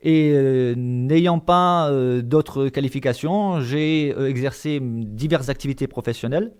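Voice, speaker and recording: A man's voice: medium pitch, unhurried, darkish tone, smooth. A man from Marseille answering in an interview, hesitant and searching for his words. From Marseille, France. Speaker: interview guest, volunteer visitor of the elderly.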